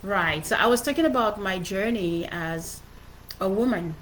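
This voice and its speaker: A woman's voice: medium pitch, moderate pace, a bit bright, a little hiss or hum, rough recording. A middle-aged woman with a Cameroonian accent gives an informal motivational livestream talk as an author, speaking earnestly and emphatically and often repeating phrases. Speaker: author presenting her book.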